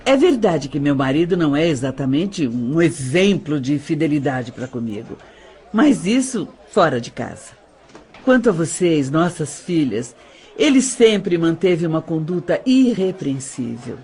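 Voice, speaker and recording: A man playing a condescending, reproachful stepmother with a husky voice in an audio drama, insistent and dramatic. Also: a little high, sing-song, measured pace, a little mellow, voice pushed up. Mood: stern lecturing. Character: married stepmother, reproachful, falsely solicitous, lecturing.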